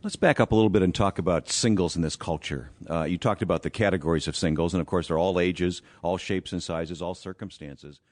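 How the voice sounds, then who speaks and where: Deep-voiced male interviewer. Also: brisk, few pauses, darkish tone. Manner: conversational, asks leading questions. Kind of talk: interview questions.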